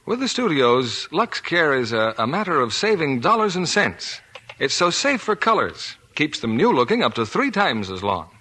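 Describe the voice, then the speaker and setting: A man announcing and pitching products in scripted 1940s radio style. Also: big pitch swings, moderate pace, pushed and bright. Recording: 1940s radio broadcast, crowd behind.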